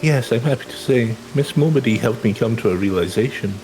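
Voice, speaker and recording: A man's voice: medium pitch, animated, a little fast, darkish tone, lightly acted, poor audio. A man playing a polite, earnest young adventurer from a video game, formal and humbly reflective. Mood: grateful. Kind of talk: video game dialogue read aloud in character. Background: game music.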